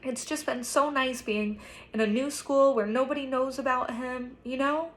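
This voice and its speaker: A woman's voice: fairly high pitch, normal speed, creaky, pushed and bright. A woman voicing a defensive, guilt-ridden and tearful teenage girl in a story reading. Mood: upset, confessing.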